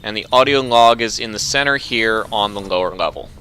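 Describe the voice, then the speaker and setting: A man narrating a video game walkthrough in a relaxed, instructive, matter-of-fact way. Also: on the low side, fairly quick. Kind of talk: casual gameplay commentary.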